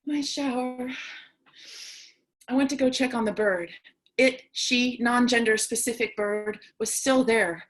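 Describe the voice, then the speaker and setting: Woman acting a high-voiced, wry apocalypse survivor. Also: a little slow, natural voice. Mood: haunted, subdued. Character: lone apocalypse survivor woman, wry, anxious, chatty with animals. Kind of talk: theatrical staged-reading monologue.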